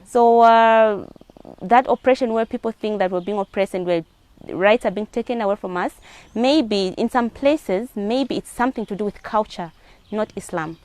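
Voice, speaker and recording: A woman's voice: medium pitch, normal speed, darkish tone, dry recording. A woman with a Zambian accent giving earnest answers as a guest in a spoken interview. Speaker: interview guest, hijab advocate.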